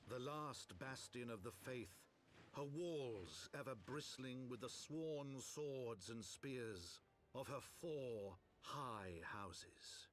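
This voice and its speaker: An actor playing a solemn storyteller, narrating an epic fantasy game in a grand, measured manner. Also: male voice, medium pitch, a little hiss or hum, close to an everyday voice. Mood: grand, proud. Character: solemn male storyteller chronicling fantasy heroes.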